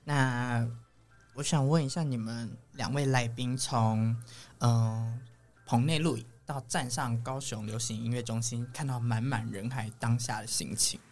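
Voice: medium pitch, steady tone, a little slow, darkish tone, soft background music. A podcast host with a Taiwanese accent, chatting casually and teasing guests in a playful way. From Yilan, Taiwan. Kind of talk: casual interview chat.

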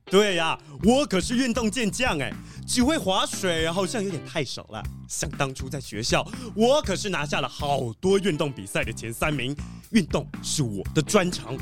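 A man's voice: on the high side, big pitch swings, normal speed, over music. A man playing a boastful, cheerful animal diplomat and sports champion in a children's detective audio drama, chatty and full of exclamations. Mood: proud, excited.

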